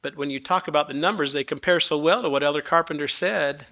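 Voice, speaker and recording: Man, 60s, raspy, bright forceful tone, gracious, formal panel moderator. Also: on the high side, quick pace. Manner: gracious, formal, a little rambling.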